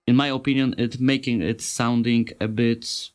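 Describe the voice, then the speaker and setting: A man with a non-native English accent giving an informal tutorial as a sound designer, speaking in simple, halting phrases and searching for words. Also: medium pitch, little pitch movement, smooth. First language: not English. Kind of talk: informal software tutorial narration.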